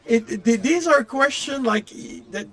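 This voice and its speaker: Very high-pitched man, halting and rambling. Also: lively intonation, a bit bright. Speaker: game streamer. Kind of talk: casual chat while playing a game. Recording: game music faintly behind the voice. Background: faint video game music.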